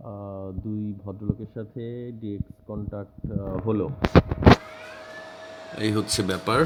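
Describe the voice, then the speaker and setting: A man with a Bangladeshi accent, an amateur radio operator making radio calls and explaining casually. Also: lowish voice. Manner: spells call signs in phonetic alphabet. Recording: very noisy, radio static and clicks.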